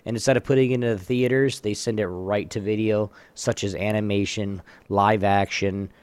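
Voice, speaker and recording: A man's voice: fairly low pitch, fairly even intonation, moderate pace, a little mellow, clear, resonant tone, dry recording. A man hosting a casual gaming talk show, chatting informally and running a trivia game.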